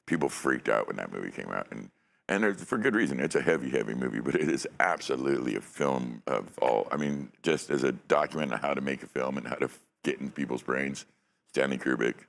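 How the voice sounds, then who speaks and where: A middle-aged man hosting a podcast from a dark studio set, talking on a studio mic in a rambling, profane way and laughing often. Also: rumbling low, expressive, a little fast, gravelly. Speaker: podcast host. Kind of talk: casual chat. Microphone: studio mic on a stand.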